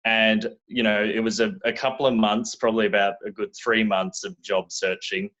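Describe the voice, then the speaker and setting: Low-voiced Australian man on a lo-fi line. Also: little pitch movement, normal speed, a bit bright. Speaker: guest. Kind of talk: casual interview talk.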